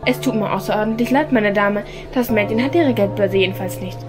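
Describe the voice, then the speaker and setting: An actress playing a stern, officious theatre usher in an audio drama, curt and authoritative. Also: female voice, mid-range voice, a little fast, over music, lightly acted. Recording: faint background noise.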